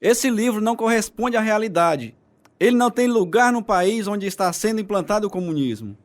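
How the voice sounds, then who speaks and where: High-voiced Soviet officer, authoritative. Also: male voice, animated, brisk. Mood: stern. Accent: Brazilian. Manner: authoritative, formal. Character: stern Soviet lieutenant colonel with a hidden soft side. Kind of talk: radio drama acting.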